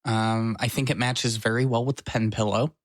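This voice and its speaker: A man with a mid-pitched voice chats casually on a podcast, joking and poking fun at himself. His voice is recorded dry and close. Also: fairly even intonation, moderate pace, dry recording. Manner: joking, self-mocking. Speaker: podcast co-host. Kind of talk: casual chat. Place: his apartment.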